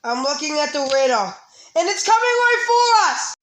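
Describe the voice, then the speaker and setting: A woman plays an anxious, halting weather forecaster in a radio disaster drama. The voice is fairly high and recorded in an echoey room. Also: big pitch swings, a bit bright. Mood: panicked. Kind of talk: amateur radio emergency drama.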